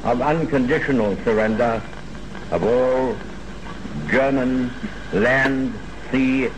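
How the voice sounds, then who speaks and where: A man making a formal wartime radio announcement in solemn, deliberate phrases. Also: mid-range voice, steady tone. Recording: noisy 1940s radio recording.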